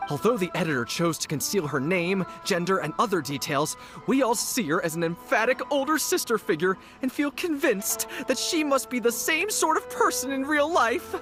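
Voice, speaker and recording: A woman's voice: mid-range voice, lively intonation, moderate pace, lightly acted. A woman voicing a fervent, gushing fan club leader in an anime video game, preaching theatrically. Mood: reverent, persuasive. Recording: faint game music behind.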